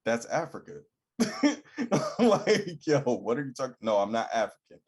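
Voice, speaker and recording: Husky-voiced man in casual chat. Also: medium pitch, a little slow. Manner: animated storyteller, acts out quoted people. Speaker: podcast co-host.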